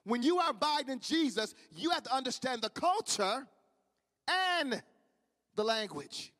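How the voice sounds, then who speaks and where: A man's voice: very high-pitched, sing-song, a little slow, pushed and bright. A man with a Midwestern accent preaching a sermon with fervent, repetitive cadence. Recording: long reverb of a large hall.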